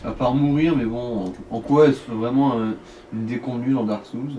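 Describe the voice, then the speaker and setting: Distant mid-pitched man guiding a gamer. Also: fairly even intonation, normal speed, dark tone, lo-fi sound. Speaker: let's play co-commentator guiding the player. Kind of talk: casual gameplay chat. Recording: distant mic with faint noise.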